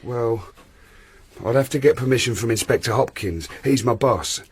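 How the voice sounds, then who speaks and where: An actor playing a polite, slightly awkward police detective in a radio drama. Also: male voice, medium pitch, normal speed, breathy edge, close to an everyday voice.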